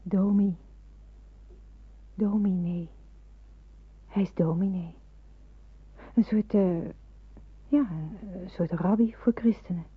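A young refugee in a wartime radio play, with a very husky, breathy voice. She talks softly, with earnest hesitation. The recording is narrow and phone-like. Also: medium pitch, fairly even intonation, takes it slowly, long pauses. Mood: reflective, devout. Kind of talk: Dutch radio drama acting.

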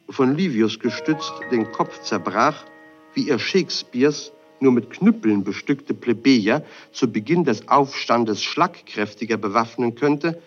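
A man reading a formal, literary scripted address aloud. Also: mid-range voice. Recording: dull lo-fi recording.

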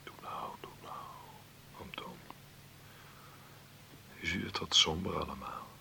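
A man's voice: low voice, slow, vocal fry, pushed and bright, whispered, full character voice. An actor playing a man in his forties in a Dutch radio play, soothing and coaxing his uncle with cheerful encouragement. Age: 40s. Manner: coaxing, reassuring. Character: forty-three-year-old nephew, soothing and cheerfully encouraging.

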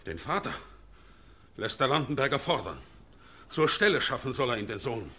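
A man's voice: medium pitch, big pitch swings, bright, forceful tone, slightly rough, full character voice. A grave, sorrowful nobleman. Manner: solemn, measured recounting. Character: grave middle-aged Swiss nobleman, sorrowful messenger. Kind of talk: classic German radio play acting.

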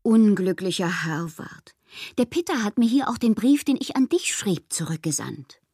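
A woman's voice: mid-range voice, very animated, normal speed, mellow, no room sound, clean studio sound. An actress playing a whimsical, lovelorn, melancholy woman poet performing her letters in a radio drama, theatrical and given to whispering. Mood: elated, fervent. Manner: theatrical, swinging between breathy whispers and exclamations.

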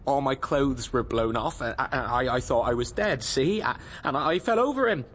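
An actor playing a fragile, impassioned young English soldier and husband in a radio drama, halting and stammering with emotion. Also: male voice, medium pitch, animated, fairly bright tone, slight background noise, lightly acted. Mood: distraught.

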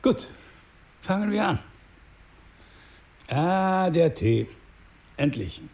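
An actor playing a powerful, smooth-talking crime boss in a radio drama, patronizing and unhurried in his politeness, with impatient asides. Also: fairly high pitch, very animated, darkish tone, full character voice. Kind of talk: German radio drama acting, with Spanish asides.

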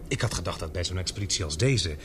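An earnest young journalist in a radio play. His voice is on the low side and moves in very lively swings of pitch, over clear background noise from an old recording. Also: fast. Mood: conversational, rueful. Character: earnest young male journalist on an expedition ship. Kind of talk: Dutch radio drama acting.

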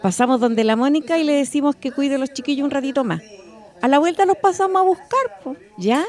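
Actress as a determined Chilean wife, high and animated. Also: female voice, a little fast. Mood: urging, earnest. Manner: insistent, earnest. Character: determined working-class wife and mother, newly politically awakened. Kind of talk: radio drama dialogue in colloquial speech.

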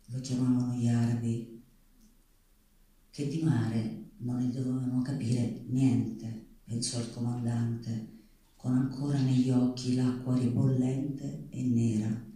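Mellow man reading slowly in echoey hall. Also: medium pitch, level tone. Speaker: reader on stage. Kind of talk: literary reading aloud. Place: live theatre stage. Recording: echoey hall, distant microphone.

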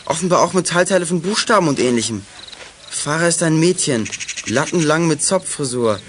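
A young male actor playing an eager schoolboy detective in a children's radio play, brisk and keen. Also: on the high side, animated, a little hiss or hum, natural voice. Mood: brisk, alert. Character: eager schoolboy amateur detective with walkie-talkie.

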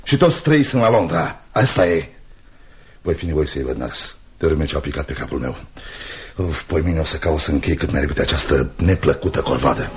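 An actor playing a cold, miserly older uncle in a Romanian radio play, sarcastic and dismissive, muttering repetitions. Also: male voice, a bass voice, expressive. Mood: dry, grumbling. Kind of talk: Romanian radio theatre acting. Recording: dull, band-limited old radio drama recording.

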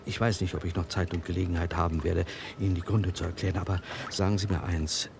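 An earnest, troubled inventor in a radio play. His voice is lowish and gravelly, with faint ambience behind. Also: flat delivery, fast, noisy background. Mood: shaken, defensive. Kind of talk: German radio play acting.

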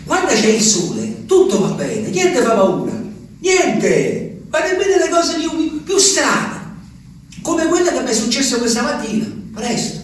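A man's voice: very high voice, very animated, a little slow, full character voice. An actor playing an ageing, lonely peasant man, rambling and repeating himself in a theatrical monologue. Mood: agitated wonder. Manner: rambling, repetitive, swings from hushed to exclaiming. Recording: echoey, distant stage recording, faint noise.

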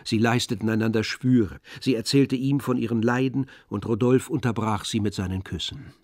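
A man's voice: medium pitch, steady tone, moderate pace, a little mellow, dry recording, clean studio sound. A man reading scripted literary narration as the storyteller of an audio drama.

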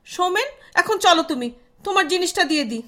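A woman acts out a sharp-tongued Bengali wife from a comic play. Her extremely high, piercing voice swoops up and down as she scolds and mocks with sceptical exasperation. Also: lively intonation, a little fast, slight background noise. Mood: exasperated. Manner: scolding, mocking. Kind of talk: comic stage-play acting.

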